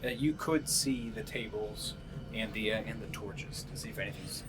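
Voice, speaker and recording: A man narrating a tabletop game as game master, describing scenes in a halting, improvised way. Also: lowish voice. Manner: halting, pieces descriptions together as he goes. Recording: clearly noisy room sound.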